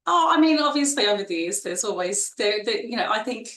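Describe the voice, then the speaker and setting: A woman's voice: mid-range voice, animated, fairly quick, fairly bright tone, echoey room. A woman in her forties with a strong Australian accent, a nurse chatting as an interview guest, talking freely with many fillers and restarts. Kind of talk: casual interview conversation.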